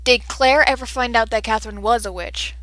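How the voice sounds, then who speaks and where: A woman's voice: a little fast, a crowd in the background. A young woman leading a casual fan comic reading with friends, bubbly and playful. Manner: bubbly, playful, lively swings in pitch. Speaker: project organizer and reader.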